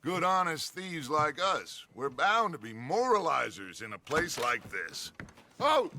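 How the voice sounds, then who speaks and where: A man playing a silver-tongued, middle-aged American outlaw leader in a Western game, grandiose, glib and flattering. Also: on the high side, unhurried, a little hiss or hum, heavily acted. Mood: grandiose charm. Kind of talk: theatrical video game cutscene acting.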